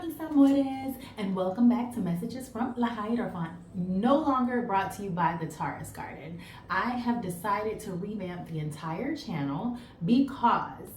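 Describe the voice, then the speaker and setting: A woman's voice: mid-range voice, a little mellow, a little hiss or hum. A woman tarot reader, blunt and chatty, giving a rambling casual reading outdoors while congested and sniffly. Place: outdoors in the early morning.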